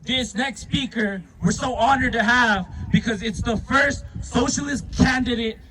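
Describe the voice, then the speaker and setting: A man's voice: very high voice, unhurried, pushed and bright, slight background noise, rough recording. A man hosting an outdoor protest rally, leading chants and introducing speakers. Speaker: rally emcee.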